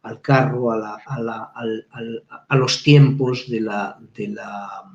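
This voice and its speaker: A man speaks as a guest professor on a live video call. He thinks aloud, pausing on fillers and restarting phrases. The sound is roomy and a little dull, like webcam audio. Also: medium pitch, steady tone, a little mellow. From Spain. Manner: reflective, halting, frequent restarts and fillers. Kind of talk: live webinar discussion.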